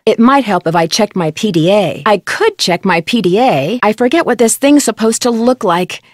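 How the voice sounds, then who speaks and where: A woman's voice: medium pitch, expressive, moderate pace, no room sound, studio quality, natural voice. A woman voicing a plucky, curious young woman detective in scripted lines for an adventure game. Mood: musing.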